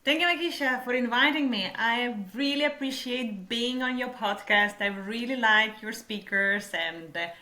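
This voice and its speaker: Woman, Lithuanian accent, non-native English, searching slow phrasing, echoey room. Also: on the high side, pushed and bright. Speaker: podcast guest. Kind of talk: casual interview.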